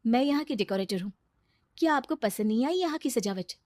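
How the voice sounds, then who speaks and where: Woman acting firm, high-voiced self-reliant wife. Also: lively intonation, a little fast, a little mellow, no echo, lightly acted. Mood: cool, pointed. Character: strong, self-reliant wife, wronged but composed.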